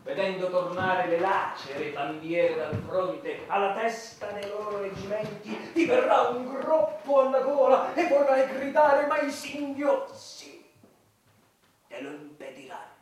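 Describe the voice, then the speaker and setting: Hot-headed war patriot, theatrical and declaiming, really high voice, big echoey room w/ faint noise. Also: male voice, expressive, unhurried, recorded from a distance. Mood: grandiose. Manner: declamatory, theatrical, swinging from hushed to loud. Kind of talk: satirical radio theatre acting.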